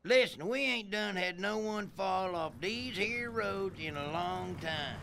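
A man's voice: high voice, vocal fry, pushed and bright, slight background noise, heavily acted. A man playing a goofy, good-natured country tow truck with a twangy rural Southern drawl in a cartoon video game. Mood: puzzled. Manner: slow, folksy, naive. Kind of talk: animated video game cutscene acting.

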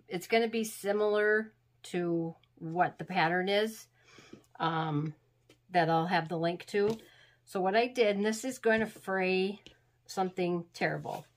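A woman hosting a craft tutorial, talking through steps in a homey, rambling way, a little sniffly from being sick. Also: lowish voice. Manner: chatty, homey, rambling instructions. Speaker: craft channel host. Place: crafting table indoors.

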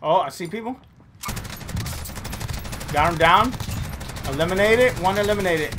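A man's voice: high voice, lively intonation, a little slow, background noise. A man in his thirties reacting live and chatting over gameplay, slangy and exclamatory with plenty of swearing. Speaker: gaming streamer. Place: small room at home.

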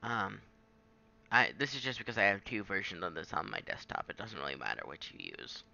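Bright, creaky-voiced man giving casual tutorial. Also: sits low, sing-song, lo-fi sound. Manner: informal, halting, thinking aloud. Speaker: tutorial presenter. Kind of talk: casual screen-capture software tutorial.

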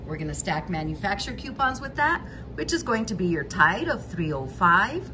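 A woman's voice: mid-range voice, sing-song, moderate pace, background noise. A woman hosting a couponing channel, walking through store deals in a methodical voice-over, price by price.

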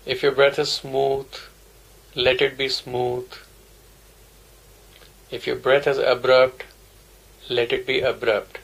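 A man's voice: medium pitch, little pitch movement, slow, creaky, pauses often, low-quality recording. A man teaching a guided meditation, giving simple instructions in short, repeated phrases. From India.

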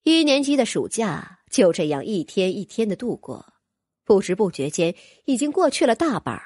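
A woman's voice: medium pitch, very animated, moderate pace, a little mellow, slightly rough, dry recording, clean studio sound. A woman narrating a fantasy audiobook from a script, as a storyteller.

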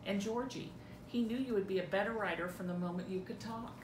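A middle-aged woman doing a dramatic read-through of a script as a mother, recorded indoors at home. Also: fairly quick. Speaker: amateur reader in a family script reading. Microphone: camera a few feet away. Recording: roomy echo, clear low rumbling noise.

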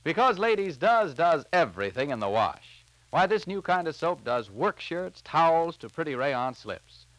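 A man's voice: fairly high pitch, big pitch swings, moderate pace, hardly any pauses, fairly bright tone, no room sound, poor audio. An American man announcing on 1940s radio, with a polished, emphatic sales delivery, recorded on a transcription disc.

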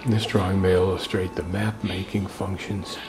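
Low-voiced older man touring a garden. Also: flat delivery, normal speed, gravelly, over music. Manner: musing, thinking aloud, curious. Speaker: travel vlogger. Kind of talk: walking tour narration. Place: outdoors in a city garden and a small indoor museum.

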